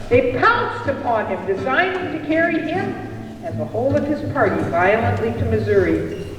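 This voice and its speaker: A narrator telling a scripted story for a puppet show in a measured, theatrical way. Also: high-pitched. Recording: echoey hall, picked up from far off. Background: music.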